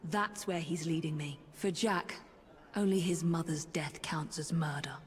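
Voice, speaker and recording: A woman's voice: deep voice, a little slow. An actress playing a haunted female assassin detective in a video game, brooding as if speaking to herself. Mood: grim deduction. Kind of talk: video game cutscene acting. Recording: loud noise behind the voice.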